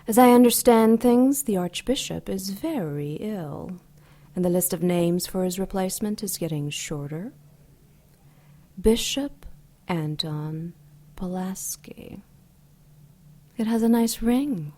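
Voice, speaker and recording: A woman playing an ancient, seductive and coolly menacing demon goddess in an audio drama, silky, deliberate and mocking. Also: medium pitch, lively intonation, mellow. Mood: sly, menacing.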